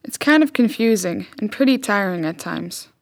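A woman's voice: mid-range voice, expressive, normal speed, vocal fry, no room sound, studio quality. A young woman from the Netherlands, a native Dutch speaker, reading a personal reflection for a student podcast. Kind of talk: read reflective narration.

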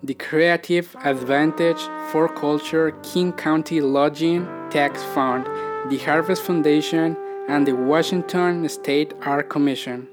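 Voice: medium pitch, steady tone, takes it slowly. An announcer giving a formal, scripted reading of a program introduction and credits. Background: faint guitar music.

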